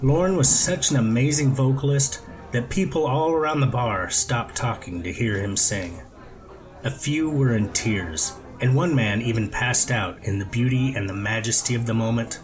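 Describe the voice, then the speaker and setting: A man's voice: medium pitch, moderate pace, faint music behind, poor audio. A man narrating a scripted story as an audiobook reader.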